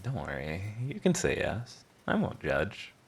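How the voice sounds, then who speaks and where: A man's voice: low-pitched, huge pitch swings, creaky, slightly rough, heavily acted. A man playing a sarcastic, flippant villain with a teasing, mocking delivery in a scripted audio roleplay. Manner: sardonic, theatrical teasing, drawn-out mocking delivery. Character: sarcastic male villain, flippant, prickly, begrudgingly charming.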